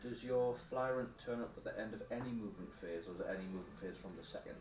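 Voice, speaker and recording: A man with a fairly low voice chats casually as a tabletop game opponent, helping with rules. He sounds distant in an echoey room, and very loud background noise competes with him. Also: racing. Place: indoor room during a filmed tabletop game. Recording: distant, echoey, dull, very noisy. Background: loud noise, radio playing.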